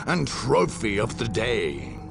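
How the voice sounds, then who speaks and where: An actor playing a grave war chronicler, narrating a video game campaign with theatrical, portentous pauses and a husky, gravelly voice. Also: male voice, fairly low pitch, huge pitch swings, music in the background, full character voice. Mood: ominous, triumphant. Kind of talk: dramatic scripted game narration.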